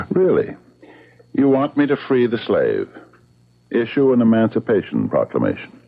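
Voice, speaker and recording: A man's voice: lowish voice, huge pitch swings, a little slow, gravelly, exaggerated voice. An actor playing a menacing, suave man who taunts with mock politeness and lords it over others, in old-time radio drama style. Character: menacing, mocking man lording it over his enslaved friend. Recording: muffled, phone-like old radio sound.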